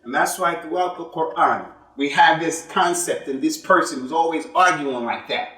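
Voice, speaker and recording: High-voiced man preaching in an echoey mosque. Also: moderate pace, pushed and bright. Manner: emphatic preaching with repetition and rhetorical questions; mixes Arabic religious terms into English. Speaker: imam giving Friday sermon. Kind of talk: sermon. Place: mosque prayer hall. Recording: echoey hall, voice from some distance.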